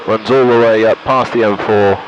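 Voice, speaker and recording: A man's voice: fairly low pitch, steady tone, moderate pace, muffled recording, noisy background. A British man giving a casual commentary from a light aircraft cockpit, thinking aloud and making radio calls into a headset. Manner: thinks aloud while concentrating. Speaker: pilot and vlogger.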